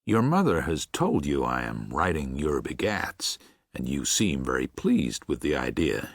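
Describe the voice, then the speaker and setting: A man playing a gentle, reflective preacher of seventy-six in a first-person audiobook, with a measured, husky, aged voice. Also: rumbling low, sing-song, dry recording, studio quality, heavily acted. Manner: measured, tender, musing. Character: gentle, reflective 76-year-old Midwestern preacher writing to his son. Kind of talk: first-person audiobook narration with acted dialogue.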